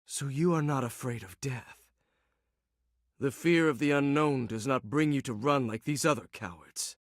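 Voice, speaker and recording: A man's voice: mid-range voice, normal speed, no room sound, studio quality, natural voice. A man voicing a brooding, impatient swordsman in a video game, stern and terse. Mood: stern challenge. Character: brooding, impatient younger swordsman bent on revenge. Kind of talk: dramatic video game cutscene acting.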